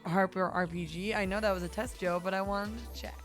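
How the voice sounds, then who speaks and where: A woman's voice: mid-range voice, steady tone, a little fast, soft background music. A woman hosting a live gaming show, chatting in a playful, giggly way. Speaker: stream show host. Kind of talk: casual live-stream chat.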